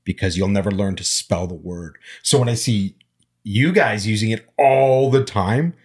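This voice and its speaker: Middle-aged man whose first languages are Armenian and Arabic, deep husky voice, relaxed reflective talk. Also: normal speed. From immigrant, grew up speaking Armenian and Arabic. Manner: reflective, storytelling, frequent fillers. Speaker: panel guest. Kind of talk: casual conversation.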